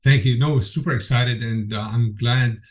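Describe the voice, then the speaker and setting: A man's voice: medium pitch, fairly even intonation, moderate pace. A middle-aged man from Egypt, a program director speaking as a podcast guest, earnest and enthusiastic with frequent restarts. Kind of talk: conversational interview.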